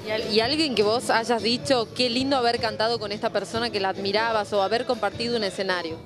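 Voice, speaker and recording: A young Argentine woman hosting a TV show in a bar, chatting warmly with guests into a handheld mic. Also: a little high, fairly even intonation, quick pace. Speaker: TV host. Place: bar with live music. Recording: loud live music behind.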